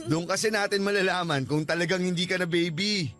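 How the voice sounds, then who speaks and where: A man's voice: high voice, lively intonation, normal speed, a bit bright, faint music behind. A man playing a playful, doting father who teases his daughter in a radio drama. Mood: playful, teasing. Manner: teasing. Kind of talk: Tagalog radio drama acting.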